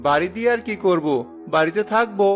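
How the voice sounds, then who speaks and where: A man's voice: sits high, fast, fairly bright tone, soft background music. A man voicing a stubborn, simple-hearted village husband in a cartoon, earnest and insistent. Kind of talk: cartoon voice acting.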